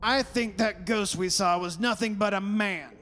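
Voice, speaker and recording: Man acting a stubborn blustering father, bright hard voice. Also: high voice, a little slow. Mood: accusing. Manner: insistent, argumentative. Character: stubborn sceptical father, proud and blustering, rationalising away terror. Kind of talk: old-time radio horror drama acting. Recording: hissy recording in a reverberant hall.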